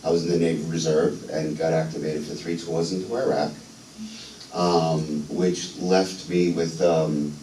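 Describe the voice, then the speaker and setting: Low-voiced man speaking in a church. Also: little pitch movement, dark tone, distant mic, a little hiss or hum. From New York. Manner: halting, many ums and restarts. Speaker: guest speaker, veteran. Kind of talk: unscripted personal testimony. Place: church sanctuary. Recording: echoey church hall, voice from afar.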